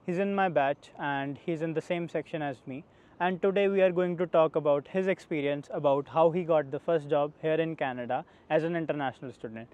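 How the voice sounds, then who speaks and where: A man's voice: on the high side, brisk, darkish tone, muffled recording. A young man hosting a casual talk with a fellow student, friendly and asking questions, sometimes repeating himself. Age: young adult. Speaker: host, international student. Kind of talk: casual conversation. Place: outdoors in a city, traffic nearby.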